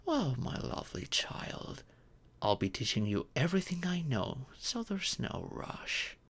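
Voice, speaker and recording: A man voicing a gentle, ancient dragon parent in humanoid form, formal and patient in a scripted audio roleplay. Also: on the high side, big pitch swings, slightly rough, full character voice. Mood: warm, patient. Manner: formal, measured, patient, explanatory.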